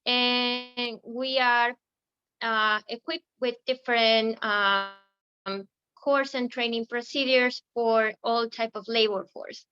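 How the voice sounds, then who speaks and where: A woman from Ecuador with an Ecuadorian Spanish accent, presenting as a recruiting manager on a webinar. She speaks very slowly and haltingly, with a bright, smooth, fairly high voice. The video call audio is muffled and lo-fi. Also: steady tone. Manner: slow and halting, many fillers. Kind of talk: presenting company slides on a webinar.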